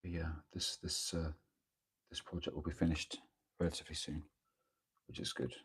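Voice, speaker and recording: A man with a croaky voice from a cold, chatting casually and hesitantly during a morning live stream of his painting, with lots of ums and restarts. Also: a bass voice, little pitch movement, normal speed. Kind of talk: casual live-stream chat while working. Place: home workspace, early morning. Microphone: microphone near the speaker.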